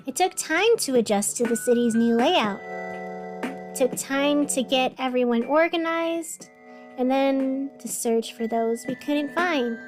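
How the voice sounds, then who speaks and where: Slow, high-voiced whimsical radio hostess played by an actress. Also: female voice. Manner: slow, dramatic pauses, musing. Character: whimsical, espresso-jittery woman radio host of a strange city. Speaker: radio host. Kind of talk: scripted fiction podcast radio broadcast, musing narration. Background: music behind the voice.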